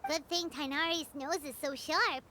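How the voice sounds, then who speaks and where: A woman voicing a tiny, excitable fairy girl companion in a video game, chattering eagerly. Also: sits high, normal speed, slight background noise. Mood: excited. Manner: excitable, refers to herself by name. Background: game music.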